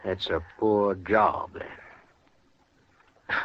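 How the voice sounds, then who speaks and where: An actor playing a surly, menacing outlaw in a radio western, curt and challenging. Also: male voice, low-pitched, steady tone, extremely slow. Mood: threatening. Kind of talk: 1950s radio western acting. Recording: muffled old-time radio recording.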